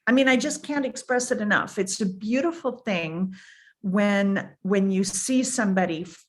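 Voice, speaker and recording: An older woman, a podcast guest and foundation founder, telling stories emphatically in a booming voice and repeating phrases as she gathers her thoughts. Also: normal speed. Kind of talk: conversational storytelling.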